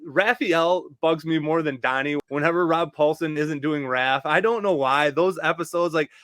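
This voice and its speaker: Higher-pitched man chatting casually, very dry sound. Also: moderate pace, a bit bright, no room sound. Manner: opinionated, informal, uses dude and man. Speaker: podcast host. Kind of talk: casual fan discussion.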